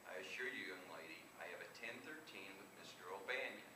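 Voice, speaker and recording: A man's voice: low-pitched, fast talker, close to an everyday voice. A towering hooded reaper played by a man reading aloud speaks with formal, dry courtesy and impatient insistence in a comic story. The recording is distant, with room echo. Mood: insistent, formal. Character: tall hooded grim reaper, formal, impatient, dryly polite. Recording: echoey distant live room recording, noisy.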